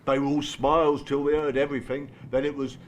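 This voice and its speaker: A man's voice: medium pitch, little pitch movement, brisk, a little hiss or hum, close to an everyday voice. An actor playing a blunt, streetwise male mentor in an audio drama, with a working-class southern English accent and colloquial, pressing talk. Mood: insistent. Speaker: support group mentor. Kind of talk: naturalistic audio drama acting.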